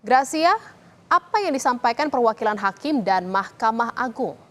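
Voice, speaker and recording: A woman's voice: fairly high pitch, expressive, normal speed, fairly bright tone. A woman news anchor reading a scripted news report.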